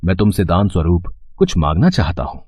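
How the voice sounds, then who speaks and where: A man voicing a calm, shrewd, majestic god in a mythological story, with measured, formal speech. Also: sits low, slightly rough, no room sound, exaggerated voice. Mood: grave, deliberate. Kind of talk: scripted mythological storytelling drama.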